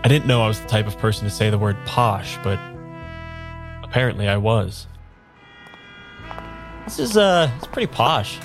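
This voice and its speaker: A man's voice: lowish voice, animated, music in the background. A man playing an earnest, unsure amnesiac. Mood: reflective narration, then awkward. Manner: halting, unsure, rambling. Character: bewildered, earnest man without his memory. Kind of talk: improvised audio drama dialogue and first-person narration.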